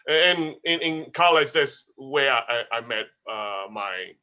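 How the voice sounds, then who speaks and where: A man's voice: mid-range voice, lively intonation, measured pace, sharp tone. A man in his fifties from Malaysia, talking about his life as an interview guest with many small restarts. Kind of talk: casual interview.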